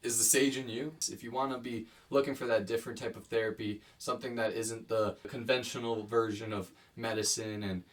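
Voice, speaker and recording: A man gives loose, repetitive sponsor announcements for a podcast. His mid-pitched voice sounds distant, picked up from a few feet off the mic. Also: steady tone, a little fast, few pauses. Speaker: podcast host reading sponsor plugs.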